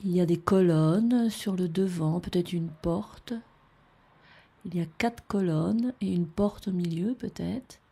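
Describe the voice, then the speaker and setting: A woman describing a photograph off the cuff, tentative and halting as she thinks aloud. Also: lowish voice, creaky, dark tone, breathy edge. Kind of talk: unscripted spoken description of a photo.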